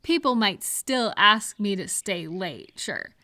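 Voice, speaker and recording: A woman's voice: medium pitch, animated, takes it slowly, bright, forceful tone. A woman speaking conversationally to one listener in a supportive advice roleplay, reassuring and explanatory. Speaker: audio roleplay performer giving supportive advice.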